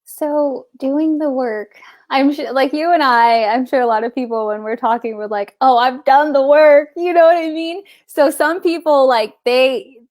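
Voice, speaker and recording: A woman's voice: high-pitched, unhurried, a little mellow, no echo, studio quality. A middle-aged woman, a guest author, talking casually and rambling, often searching for words and restarting. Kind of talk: casual spiritual conversation.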